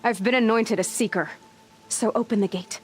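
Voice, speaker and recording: A woman's voice: fairly high pitch, normal speed, lightly acted. Woman acting a determined young huntress, music underneath. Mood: defiant. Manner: direct, terse, resolute. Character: determined, blunt young female outcast huntress. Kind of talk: video game cutscene acting.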